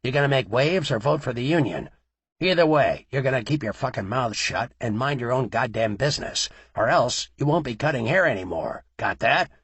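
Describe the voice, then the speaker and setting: A man's voice: mid-range voice, lively intonation, normal speed, fairly bright tone, husky, no room sound. A man voicing a crude, bullying male mob enforcer who threatens with snarling menace. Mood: menacing. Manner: snarling tough-guy menace.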